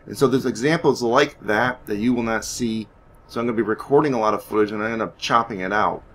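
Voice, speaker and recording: A man's voice: lowish voice, quick pace, a bit bright. A man giving casual, rambling game commentary, thinking aloud hesitantly as he plays. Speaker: gaming YouTuber narrating his playthrough.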